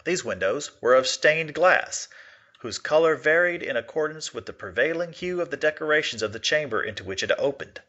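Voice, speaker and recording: A man's voice: on the high side, animated, fairly quick, sharp tone. A man reading aloud expressively in the manner of a teacher giving a literature lesson, on a slightly dull recording.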